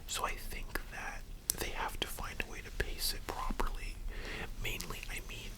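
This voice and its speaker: An ASMR host speaking in a breathy whisper, rambling casually as if chatting with the listener. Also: moderate pace, rough recording. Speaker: ASMR content creator and host. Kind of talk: whispered ASMR roleplay chat about anime.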